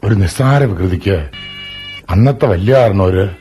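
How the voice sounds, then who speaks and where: An old Malayali landlord in a radio drama. His voice sits low, and he speaks with measured dignity, like someone used to telling his life story. Faint music accompanies the recording. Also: warm tone. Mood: reflective. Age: older.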